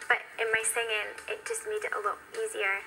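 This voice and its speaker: A British woman in her twenties speaks in a bright, forward voice with little rise or fall in pitch. She gives a candid, reflective personal account in the manner of a documentary interview, with music playing behind her. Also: level tone, brisk, creaky, rough recording. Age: 20s. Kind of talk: personal testimony.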